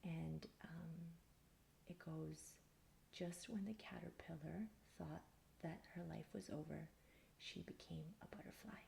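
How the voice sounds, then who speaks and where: A woman in her mid thirties speaking in a soft, breathy near-whisper, calmly and without hurry, answering personal questions in an ASMR style. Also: deep voice. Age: thirty-four. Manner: calm, unhurried. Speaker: ASMR video creator. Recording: faint background hiss.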